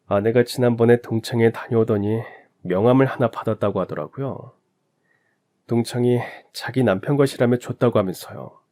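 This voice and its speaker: A man narrating a first-person family story in a calm, even storytelling manner. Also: medium pitch.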